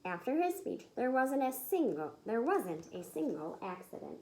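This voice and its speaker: Animated woman reading a children's story. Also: high-pitched, normal speed, slight background noise, poor audio. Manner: animated, emphatic, acts out the dialogue. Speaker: read-aloud storyteller. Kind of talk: expressive children's picture-book read-aloud.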